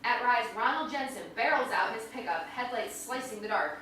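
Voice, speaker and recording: Woman, high bright voice, dramatic stage-direction reading, distant mic, very echoey hall. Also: expressive, moderate pace. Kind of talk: dramatic scripted narration. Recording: echoey hall from far off, faint noise.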